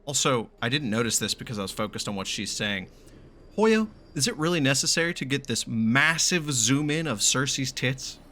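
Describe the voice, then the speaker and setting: A man's voice: medium pitch, animated, moderate pace, bright, forceful tone, a little hiss or hum. A man giving casual, exclamatory live game commentary, swearing freely and asking baffled questions. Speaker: gaming streamer reacting to a story quest. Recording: game music faintly behind. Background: game music and dialogue.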